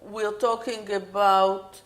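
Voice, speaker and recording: A woman's voice: medium pitch, flat delivery, slow. A woman interviewer asking patient, probing questions in an oral history interview.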